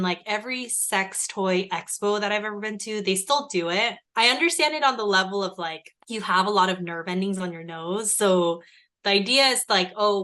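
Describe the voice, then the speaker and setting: Podcast guest: young woman, native Korean speaker, bright and chatty, many fillers. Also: medium pitch. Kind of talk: casual podcast interview.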